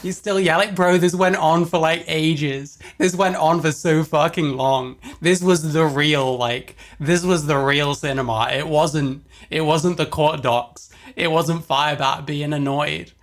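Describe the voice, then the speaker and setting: A man's voice: a little high, fairly even intonation, a little slow, few pauses. An amused man giving casual, mocking livestream commentary and swearing freely.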